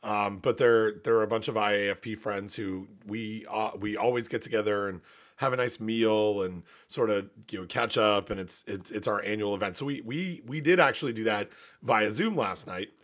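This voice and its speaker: A man with a gravelly, slightly hoarse voice, rambling and cutting himself off in a casual podcast chat. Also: lowish voice, moderate pace, fairly bright tone. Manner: rambling, self-interrupting. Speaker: podcast co-host, university academic.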